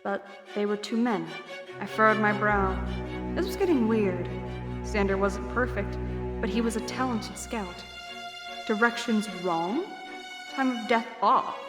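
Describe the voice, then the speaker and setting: A woman's voice: mid-range voice, expressive, unhurried, pauses often, reverberant, long reverb tail. A woman giving scripted first-person narration for a fantasy story. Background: music.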